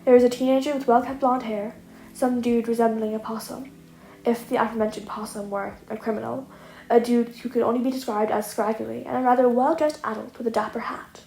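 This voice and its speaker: Female narrator for comic fan fiction, higher-pitched voice, roomy home-style recording with faint noise. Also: fairly even intonation, brisk, vocal fry, warm tone. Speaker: narrator, podfic reader. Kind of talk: scripted comic story narration. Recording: echoey room, audible noise.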